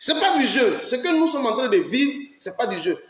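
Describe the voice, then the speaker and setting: A man's voice: very high voice, very animated, normal speed, fairly bright tone. A man from Ivory Coast, giving impassioned political commentary on his own channel, emphatic and fond of rhetorical questions. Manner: emphatic, rhetorical questions, urges listeners to listen. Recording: roomy echo.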